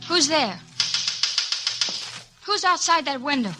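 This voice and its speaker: A woman's voice: high voice, lively intonation, takes it slowly, over music. An actress playing a proud, anguished noble lady in love, earnest and theatrical in 1950s radio melodrama style. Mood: alarmed. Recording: old radio recording with faint noise.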